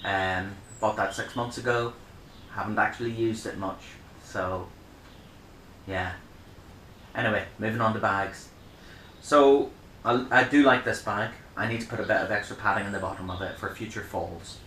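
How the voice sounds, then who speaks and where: Middle-aged guy rambling about his bags, lots of ums, bright tense voice, bit of hiss behind. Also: lowish voice, steady tone, normal speed. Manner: chatty, rambling, frequent ums. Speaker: vlogger reviewing camera bags. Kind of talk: casual show-and-tell. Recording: echoey room.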